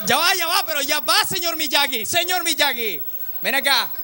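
A young man from Venezuela with a Venezuelan accent, bantering playfully on live TV and excitedly repeating his phrases. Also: very high-pitched, normal speed. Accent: Venezuelan Spanish. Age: young adult. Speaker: guest TV presenter. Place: TV studio stage. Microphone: handheld microphone. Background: music.

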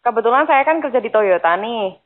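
A woman's voice: fairly high pitch, expressive, brisk. A woman in a casual phone conversation, asking probing questions in a matter-of-fact way. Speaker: wife questioning a phone caller. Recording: thin, narrowband phone line.

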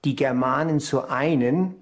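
Deliberate male expert, medium pitch. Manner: hesitant, deliberate, repeats words for emphasis. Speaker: history expert interviewed for a documentary. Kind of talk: explanatory interview talk.

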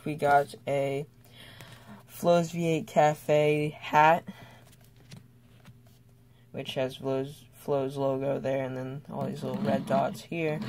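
Slow, hesitant young toy reviewer. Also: mid-range voice, level tone, a little mellow. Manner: hesitant, halting delivery with trailing thoughts. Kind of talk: casual unscripted toy review.

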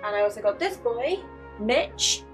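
A woman's voice: on the high side, lively intonation, measured pace. A woman in her twenties chatting casually and candidly as she plays a game, speaking into a desk mic. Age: 20s. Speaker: streamer playing a game. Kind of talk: casual chat over gameplay, reading dialogue aloud. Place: small bedroom with gaming setup. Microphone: close desk microphone. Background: game music.

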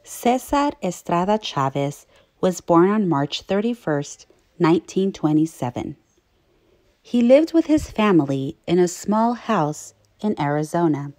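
A woman teacher reading aloud to young children, phrasing things clearly and putting questions to her listeners. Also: on the low side, big pitch swings, a little slow, a little mellow, no echo. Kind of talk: children's read-aloud narration.

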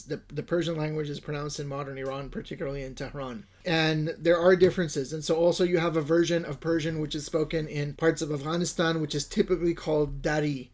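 A middle-aged man with Urdu as his first language talks a bit high for a man. He speaks informally to camera from a book-lined study at home, wandering through thoughts with lots of fillers and restarts. A desk mic sits in front of him. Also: darkish tone. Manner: rambling, with many fillers and restarts. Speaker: Islamic studies scholar giving a reading list. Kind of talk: casual recorded lesson to camera.

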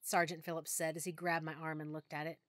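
A woman narrating a full-cast audiobook in first person, with expressive, clear storytelling. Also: sits low, fast, no room sound, studio quality. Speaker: narrator and lead character.

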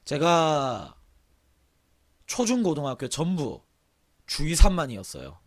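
Male game streamer, husky worn-out throat, offhand casual delivery with lively swings in pitch. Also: takes it slowly. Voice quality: hoarse, husky. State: sore, hoarse throat with throat clearing. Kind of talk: casual livestream chat, reading aloud and commenting.

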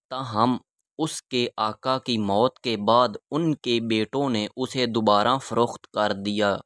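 A man narrating a historical walking tour in a measured, reverent, halting way, phrase by phrase. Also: lowish voice, a bit bright, no echo, clean studio sound. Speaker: vlogger and guide.

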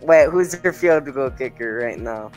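A man's voice: mid-range voice, lively intonation, a little slow, a little mellow, faint music behind. A young man from Minnesota with a Minnesota accent, chatting casually over voice chat while gaming and often stumbling over his words. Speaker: gamer on voice chat.

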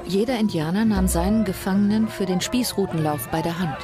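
A woman voicing a colonial settler woman held captive, recounting her ordeal in a grave, measured documentary reading, with music behind. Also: mid-range voice, natural voice. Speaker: reader of a historical account. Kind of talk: documentary audio drama reading.

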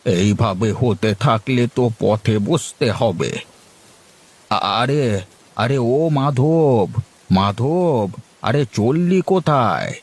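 A man voicing an old village father-in-law who runs an eatery in a cartoon, with a husky, drawn-out, exclaiming delivery. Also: mid-range voice, animated, heavily acted. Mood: worried, grumbling. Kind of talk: cartoon voice acting.

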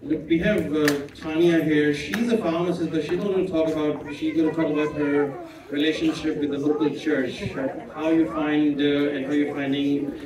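A male host informally introduces the next speakers in a flat, level voice. He sounds distant in an echoey hall, and a crowd murmurs in the background. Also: fairly high pitch, normal speed. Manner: informal, with frequent uhs. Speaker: event host.

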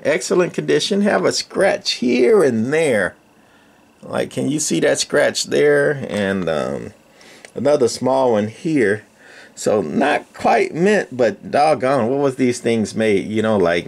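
An older man casually demonstrating equipment in a folksy, rambling, halting way as host. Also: medium pitch, gravelly. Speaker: radio repair channel host. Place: indoor electronics workshop.

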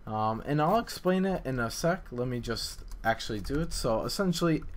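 A man's voice: mid-range voice, lively intonation, normal speed. A man giving casual, hesitant gameplay commentary in a rambling, self-deprecating way, as the developer of a game map. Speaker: map developer narrating a dev vlog.

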